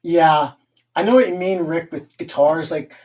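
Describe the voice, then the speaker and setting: A man's voice: fairly high pitch, measured pace, darkish tone, recorded from a distance. A man raised in Canada hosting a casual live stream, rambling, laughing often and reading the chat aloud. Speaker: livestream host and guitar collector.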